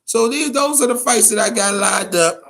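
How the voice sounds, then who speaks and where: A man's voice: very high voice, moderate pace, gravelly, a bit bright. A man with an American accent hosting a casual boxing chat show, giving opinions in profane, slangy language and repeating phrases for emphasis.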